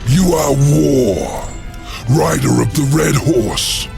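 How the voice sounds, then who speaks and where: An actor playing an imperious, manipulative demon lord in a video game, with a deep, gravelly voice and a grandiose, commanding manner. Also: male voice, expressive, takes it slowly, exaggerated voice. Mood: contemptuous, commanding. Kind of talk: video game fantasy acting. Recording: dramatic music behind voice.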